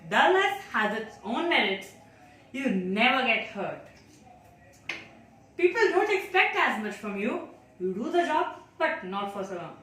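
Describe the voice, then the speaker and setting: A woman performing a theatrical monologue as an anxious, chatty, naive child, talking to themself in a dramatic, deliberate way. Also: on the high side, big pitch swings, pushed and bright, exaggerated voice. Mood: playful, musing. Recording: very echoey room, distant mic, faint noise.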